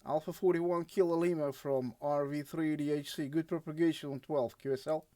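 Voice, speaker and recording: A man with a Russian accent chatting as an amateur radio operator, with hesitant, halting phrasing. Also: on the high side, steady tone, very noisy. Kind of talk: casual radio contact chat.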